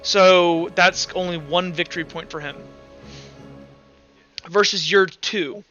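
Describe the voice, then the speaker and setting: A man narrating and refereeing a tabletop roleplaying game as game master, improvising in a halting way. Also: high-pitched, steady tone, bright, forceful tone, dull audio, faint music behind.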